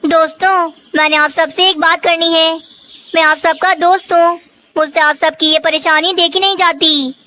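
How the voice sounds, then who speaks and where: Woman voicing a very high-pitched scheming cartoon crow. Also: steady tone, moderate pace, bright, forceful tone. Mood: sweetly persuasive. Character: scheming, falsely kind crow and other talking cartoon birds. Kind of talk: Hindi children's cartoon dialogue.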